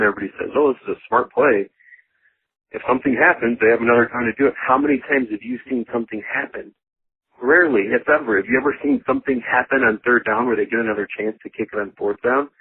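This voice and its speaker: Husky low-voiced man on a phone line. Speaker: sports show caller. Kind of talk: casual rambling voicemail commentary.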